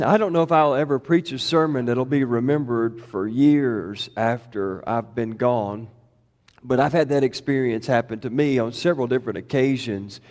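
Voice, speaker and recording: Hoarse middle-aged pastor in a reverberant church. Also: male voice, medium pitch, moderate pace, darkish tone. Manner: chatty and folksy, many fillers and restarts. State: hoarse from shouting. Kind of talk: conversational sermon. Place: church sanctuary. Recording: long reverb of a church hall.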